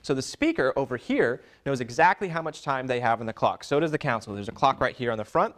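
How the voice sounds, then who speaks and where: A man's voice: mid-range voice, big pitch swings, quick pace, a bit bright. A man demonstrating and explaining how a system works, step by step.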